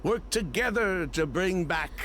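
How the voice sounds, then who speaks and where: An actor voicing a slippery, defensive male merchant and former convict in a video game, smooth-talking and theatrical. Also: high voice, expressive, unhurried, a bit bright, a little hiss or hum. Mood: defensive, persuasive.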